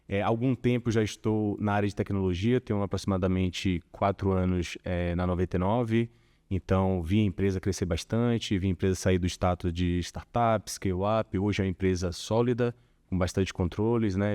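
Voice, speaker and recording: A man from Bahia with a Bahian accent, chatting as a corporate guest in an interview, relaxed and full of small filler tags. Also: deep voice. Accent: Bahian Portuguese. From Salvador, Bahia, Brazil. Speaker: corporate tax director, guest. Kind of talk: casual interview conversation.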